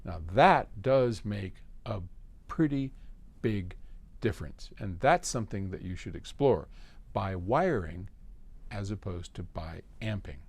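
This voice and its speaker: A man's voice: fairly low pitch, lively intonation, unhurried, gravelly, a little mellow, slightly rough. A man hosting a casual explanatory talk, answering questions in a folksy, colloquial and emphatic way. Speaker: host answering listener questions.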